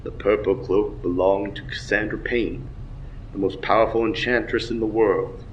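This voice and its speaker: A man playing a solemn superhero father in a recorded message, measured and instructive. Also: fairly low pitch, steady tone, lightly acted. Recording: dull, noisy video playback.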